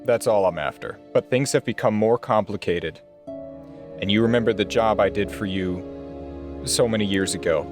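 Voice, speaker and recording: A man playing a blunt, steady private investigator in a tabletop roleplaying game. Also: fairly low pitch, soft background music, natural voice. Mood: measured, serious. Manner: blunt, measured, earnest.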